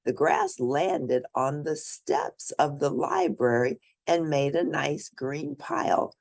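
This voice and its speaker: A woman storyteller reads aloud to young children from a picture book. Her voice is low with some gravel in it, and she swings widely in pitch as she tells it, animated and expressive. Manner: animated, pauses to point out pictures.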